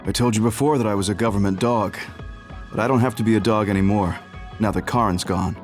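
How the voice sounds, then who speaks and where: An actor playing a composed, secretly rebellious major general in a video game, speaking in a measured, formal and authoritative way. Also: male voice, on the low side, faint music behind, close to an everyday voice. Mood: confiding, resolute.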